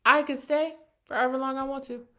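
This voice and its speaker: High-voiced young American woman, chatty and sassy. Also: quick pace. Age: early 20s. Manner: animated, sassy, slangy storytelling. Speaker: vlogger. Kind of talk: casual, chatty personal vlog.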